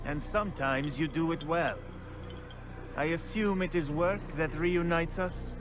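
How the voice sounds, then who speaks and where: A man voicing a stern, judging superior of assassins in a video game, with formal, deliberate speech. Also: a little high, over music, lightly acted. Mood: stern, approving.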